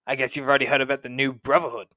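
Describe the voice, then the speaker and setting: Man playing a sharp, foul-mouthed bullying sergeant. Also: mid-range voice, flat delivery, racing, no room sound, studio quality, lightly acted. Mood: brash, grim. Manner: aggressive, crude, domineering. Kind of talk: scripted game cutscene dialogue.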